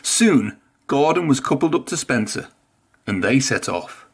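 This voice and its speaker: Slow, animated man reading a storybook. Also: medium pitch, gravelly, slightly rough. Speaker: storybook reader. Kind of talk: expressive read-aloud children's story narration.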